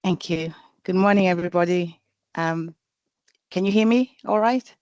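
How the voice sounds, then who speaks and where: A woman from Zimbabwe, introducing herself as a governor in a reflective, halting way. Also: fairly low pitch, a little mellow, no room sound. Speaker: public governor. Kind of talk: personal introduction at a meeting. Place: remote video call. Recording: dull, lo-fi video call audio.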